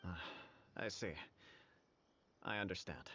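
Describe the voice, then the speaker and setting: An actor playing a dignified, mild and conciliatory clan official in a video game, speaking formally and with measure. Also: male voice, low voice, slow, sharp tone, husky, heavily acted. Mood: understanding, conciliatory. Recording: slightly dull game stream capture.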